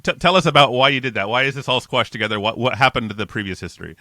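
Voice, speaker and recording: Upbeat man, bright close studio mic. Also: medium pitch, very fast, no echo. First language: not Dutch. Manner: chatty, upbeat, frequent restarts. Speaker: host. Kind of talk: casual interview and code review. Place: home office with bookshelves, indoors. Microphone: large studio mic and headphones, close.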